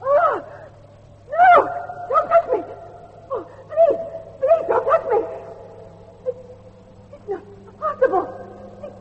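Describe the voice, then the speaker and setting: A woman playing a frightened watchman's wife in a period horror audio drama, breathless, halting and pleading. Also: very high-pitched, expressive, exaggerated voice. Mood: terrified. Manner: breathless, stammering, halting delivery. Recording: dull lo-fi audio with faint noise.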